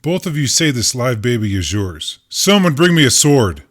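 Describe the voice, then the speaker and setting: A man playing a wise, commanding king who speaks in firm, measured pronouncements. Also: mid-range voice, very animated.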